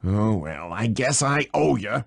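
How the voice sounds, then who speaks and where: Gravelly goon. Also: male voice, lowish voice, very animated, measured pace, dry recording, studio quality, full character voice. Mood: cocky, sheepish. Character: gullible Canadian lumber-camp guard, betting and blustering. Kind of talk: video game dialogue.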